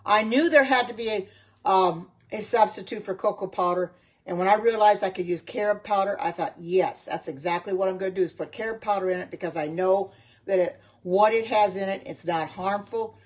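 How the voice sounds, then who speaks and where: A chatty middle-aged American woman talks her way through a home livestream in her kitchen, her voice sitting at an ordinary middle pitch. She rambles, loops back on herself and stops to answer viewers' comments as they come in. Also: a little fast. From United States. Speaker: home cook and vegan livestreamer. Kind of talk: casual livestream chat with viewers.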